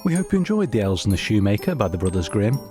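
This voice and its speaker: A man's voice: fairly low pitch, very animated, quick pace. A man working as a radio announcer, reading scripted introductions to a story programme. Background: jingly music.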